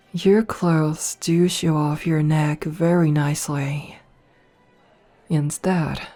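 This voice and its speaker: A haughty noble vampire princess, voiced by a woman, purrs her teasing, condescending lines in a low, mellow voice. She takes her time, hushed and breathy. Faint music plays behind her. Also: heavily acted. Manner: teasing, condescending, softly purring. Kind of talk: ASMR audio roleplay.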